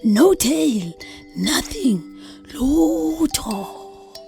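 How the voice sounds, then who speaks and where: Creaky, taunting wind spirit. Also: female voice, high voice, sing-song, drawn-out words, dark tone, faint music behind, exaggerated voice. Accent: South African. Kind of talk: children's musical comedy audio theatre.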